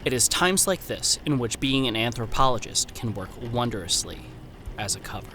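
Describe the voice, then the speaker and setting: Casting: male actor as wary young 1930s anthropologist, formal and precise, sharp forward tone, horror podcast letters, noisy backdrop. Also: mid-range voice, animated. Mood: uneasy, measured. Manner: formal, precise, measured diction. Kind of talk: scripted horror audio drama, letter narration.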